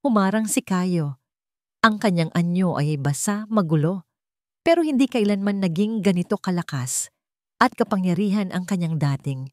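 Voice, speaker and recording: Woman, scripted story narration, lowish pitch, dramatic romance style, dry recording. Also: animated, moderate pace, darkish tone, no room sound.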